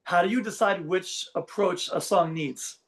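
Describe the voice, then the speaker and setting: A man's voice: high-pitched, measured pace. A man hosting an interview, asking questions and encouraging the guest with frequent "yeah"s. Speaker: interviewer, host.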